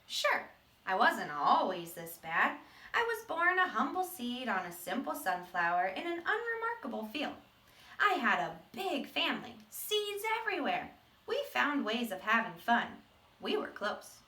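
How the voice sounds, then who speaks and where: A small, mischievous male sunflower seed, acted out by a woman confesses in a high, very animated storybook voice. Words are stretched for drama and hit with emphasis. The sound is echoey, as in a bare room. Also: measured pace, full character voice. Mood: wistful. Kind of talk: animated children's storybook read-aloud. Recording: roomy echo, distant microphone.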